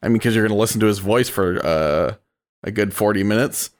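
A man's voice: fairly low pitch, fairly quick. A man co-hosting a podcast in casual chat, easygoing, bringing up news items. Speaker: podcast co-host.

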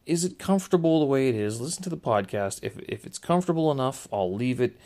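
A man with a naturally loud voice hosting a casual solo podcast, hesitant and often correcting and rephrasing himself as he goes. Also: medium pitch, animated, quick pace. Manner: hesitant, self-correcting, circling back to rephrase. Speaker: podcast host. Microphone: small handheld recorder or podcast microphone.

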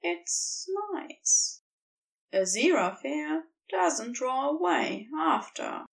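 High-pitched woman narrating slowly. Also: very animated, vocal fry, distant mic, low-quality recording. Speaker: fan fiction audiobook reader. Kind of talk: scripted narration of a tender romantic story.

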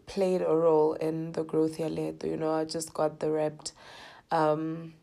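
A woman with a South African accent hosting her own podcast, slightly hoarse from a coming cold, talking in an earnest, heartfelt way with lots of "you know"s. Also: sits low, dark tone. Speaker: podcast host. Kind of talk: reflective personal podcast talk.

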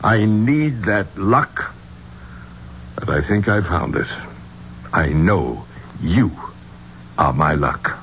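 Earnest, courtly gentleman archaeologist, low-voiced. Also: lively intonation, takes it slowly, long pauses, full character voice. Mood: earnest, pleading. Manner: grandiloquent, courtly. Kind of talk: 1970s radio drama acting. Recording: dull, noisy 1970s radio recording.

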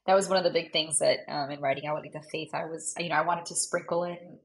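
A woman's voice: low-pitched, steady tone, fast. A woman author, a guest on a podcast, talking in an earnest, reflective way with frequent fillers. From Cuban American. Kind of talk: casual conversational interview.

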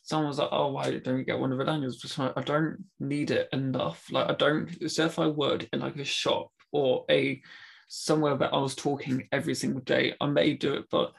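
A man's voice: medium pitch, flat delivery, moderate pace, gravelly, husky. A young British man with a mild stammer, talking casually and hesitantly about his experience. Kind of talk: casual conversation.

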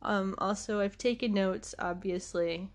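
A woman's voice: mid-range voice, steady tone, unhurried. A woman, hosting a podcast in a casual, rambling monologue, congested from allergies and laughing often. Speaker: podcast host.

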